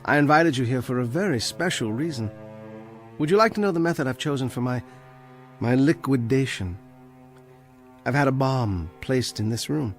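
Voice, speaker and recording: A man's voice: mid-range voice, lively intonation, normal speed, long pauses, close to an everyday voice. Casting: male actor for condemned librarian in radio play, bookish, defiant, precise and ironic, mid-range male voice over faint music. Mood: quietly triumphant. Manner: precise, dry, pedantic, ironic. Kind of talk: radio drama acting.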